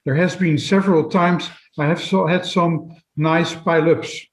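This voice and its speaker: Male webinar moderator, slightly high voice, halting read-outs of audience questions, noticeably echoey room. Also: steady tone. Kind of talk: reading out audience questions and comments. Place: indoors, online webinar.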